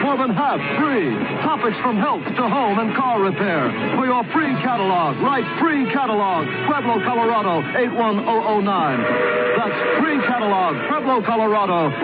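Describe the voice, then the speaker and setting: Female announcer for dramatic radio spot, high pitch, heavy music underneath, slightly lo-fi. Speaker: public service announcer. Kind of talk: scripted dramatic radio advertisement. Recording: dull radio, loud music behind.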